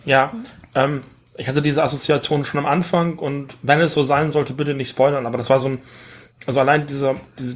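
Male discussion participant, mid-range voice, informal, circling back over words while thinking aloud. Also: mid-range voice. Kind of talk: casual chat, giving personal impressions.

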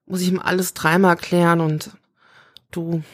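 A woman's voice: on the low side, flat delivery, moderate pace, dry recording, studio quality, lightly acted. A woman acting as an overwhelmed, emotional cook in a German audio drama, hesitant and intense. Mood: upset, despairing. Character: ironic, emotional, overwhelmed young female cook.

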